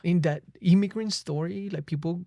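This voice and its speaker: Colombian man with a gravelly, mellow voice. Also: high-pitched, steady tone, moderate pace, no echo, studio quality. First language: Spanish. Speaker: podcast guest, filmmaker. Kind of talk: personal storytelling in a conversation. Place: small podcast studio. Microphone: close boom-arm studio microphone.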